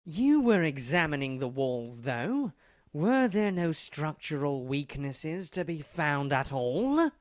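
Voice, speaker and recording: A man voicing a patient but superior cartoon prisoner, measured and exasperated as he explains things in comedy dialogue. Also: fairly high pitch, sing-song, no echo, clean studio sound. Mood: questioning.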